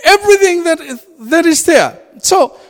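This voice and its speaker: Man, Fijian accent, informal animated sermon, long hall echo. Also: very high voice, measured pace. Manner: animated, laughs often, rises to exclamations. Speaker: preacher. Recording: reverberant hall.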